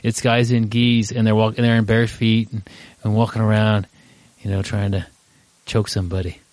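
A man's voice: lowish voice, level tone, moderate pace, a little mellow, no echo. A man hosting a podcast in a casual, rambling way, with many fillers and restarts, laughing easily. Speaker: podcast host.

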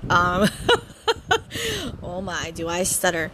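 A woman's voice: fairly low pitch, huge pitch swings, extremely slow, pushed and bright. Woman in her thirties, from Hawaii, casual podcast chat, stutters and restarts, audible background noise. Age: 30s. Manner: chatty, giggly, stutters and restarts often. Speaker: podcast host. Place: home.

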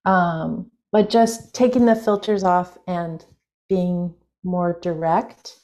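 A woman's voice: on the low side, steady tone, takes it slowly, darkish tone. A woman guest on an interview show, a spiritual teacher talking in a musing, loose way with many fillers and small laughs. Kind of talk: reflective interview conversation.

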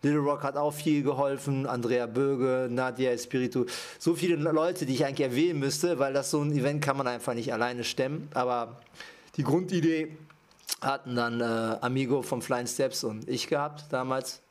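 A man's voice: medium pitch, steady tone, normal speed. Hesitant male dancer guest. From Japan. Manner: hesitant, many fillers, lists names. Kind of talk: casual interview talk.

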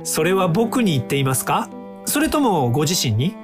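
An actor in an audio drama playing an earnest, polite young time-traveling inventor who explains things courteously. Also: male voice, a little high, big pitch swings, normal speed, over music. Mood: sincere, composed.

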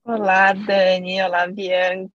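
A woman's voice: mid-range voice, level tone, slow pace, no room sound, muffled recording. A woman in her thirties from Brazil, a guest on a podcast, chatting casually and telling a long story with many fillers and asides. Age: 30s. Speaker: podcast guest, company CEO.

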